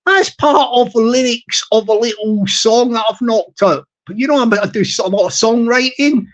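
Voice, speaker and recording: A man with a high tenor voice hosting a live stream in slow, rambling casual chat full of fillers and repeated words. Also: fairly bright tone. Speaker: YouTube live stream host.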